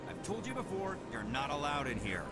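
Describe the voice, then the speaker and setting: Stern fed-up security cop in a game, really up-and-down voice, loud music under it. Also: male voice, a little high, big pitch swings, brisk. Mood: exasperated. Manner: stern, officious. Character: officious, exasperated male security officer. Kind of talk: video game acting.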